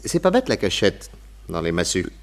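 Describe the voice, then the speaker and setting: Cool, teasing detective, swooping low voice. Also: male voice, wildly swooping pitch, normal speed, full character voice. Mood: mocking, confident. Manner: theatrical, self-assured, teasing. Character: cool, amused male detective posing as a gentleman thief. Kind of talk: French detective radio play acting.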